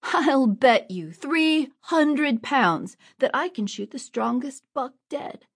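A woman's voice: mid-range voice, measured pace. A woman voicing a proud, angry young male archer who speaks boastfully. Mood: defiant. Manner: boastful, defiant.

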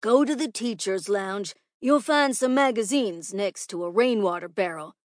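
A woman's voice: medium pitch, normal speed, no echo, clean studio sound, natural voice. A woman voicing a crass, impatient old male schoolteacher in a storybook, blustering and condescending. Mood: exasperated, scornful.

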